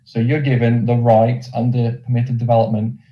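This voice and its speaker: A man's voice: medium pitch, flat delivery, normal speed, dull audio. Norfolk man presenting, in an echoey room. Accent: Norfolk English. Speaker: presenter, mortgage lender representative. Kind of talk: explanatory webinar presentation.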